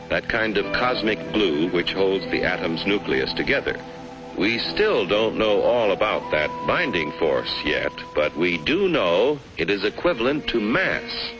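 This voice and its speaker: Casting: American male narrator for a 1950s science short, low animated voice with dramatic emphasis, dull vintage film sound. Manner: measured, dramatic emphasis with rhetorical questions. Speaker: documentary narrator. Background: orchestral film score.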